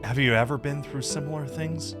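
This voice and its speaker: A man's voice: a little high, fairly quick, loud music behind the voice, pitched above the performer's own voice, lightly acted. A man playing an eager, lighter-voiced young adventurer. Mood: admiring, curious. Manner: earnest, polite, eager. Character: earnest young male furry adventurer, admiring and eager. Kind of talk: visual novel character read-aloud.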